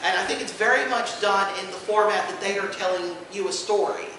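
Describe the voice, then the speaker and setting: A man giving an informal panel presentation to an audience, an enthusiastic explainer who speaks haltingly with many asides. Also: high-pitched, bright, forceful tone. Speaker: convention panelist presenting audio drama releases. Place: indoor convention panel room. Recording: echoey room from far off, noisy.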